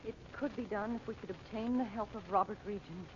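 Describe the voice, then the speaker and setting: A woman's voice: mid-range voice, fairly quick, close to an everyday voice. An actress playing a worried, earnest mother in an old-time radio adventure serial. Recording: dull, noisy old radio recording.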